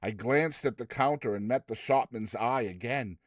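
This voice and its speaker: A man narrating a story in an expressive, dramatized reading style. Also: medium pitch, moderate pace, dry recording, clean studio sound.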